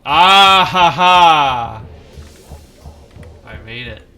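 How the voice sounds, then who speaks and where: A man's voice: a little high, expressive, very slow, pushed and bright, faint music behind. A man casually commenting as he plays a game, wry and self-deprecating, with half-mumbled asides. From not from Maine. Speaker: gaming streamer.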